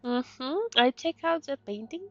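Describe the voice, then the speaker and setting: A female streamer with a high, lively voice plays through a game, commenting casually and reading lines at a slow pace. The sound is slightly dull. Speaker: VTuber streamer. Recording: dull microphone, game music behind.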